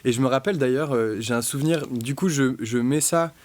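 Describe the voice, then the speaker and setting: A young French man, a guest musician, talking casually and reflectively, with many pauses, fillers and restarts. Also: medium pitch, darkish tone. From France. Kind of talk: casual podcast conversation.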